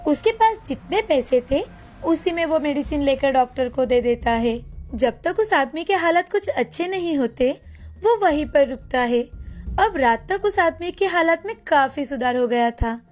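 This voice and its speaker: A woman's voice: sits high, lively intonation, normal speed. A woman narrating a scripted moral story as the storyteller.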